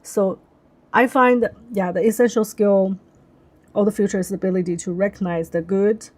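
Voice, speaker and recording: A young woman whose first language is Chinese chats through a live stream in English. Her pitch sits in a middle range. She talks thoughtfully and keeps pausing and rephrasing mid-sentence as she works out her ideas. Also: steady tone, a little mellow. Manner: thoughtful, searching for words, frequent restarts. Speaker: livestream host. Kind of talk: casual live-stream chat.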